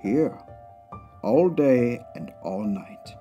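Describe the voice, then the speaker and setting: A man's voice: medium pitch, lively intonation, drawn-out words, mellow, muffled recording, soft background music. A man playing a haughty, wealthy aristocratic villain in a comic dub, speaking with formal, pompous precision. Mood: haughty, composed.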